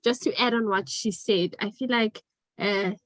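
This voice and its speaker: A woman's voice: medium pitch, normal speed, fairly bright tone, no room sound. Student guest, woman, twenty, thoughtful; dry audio.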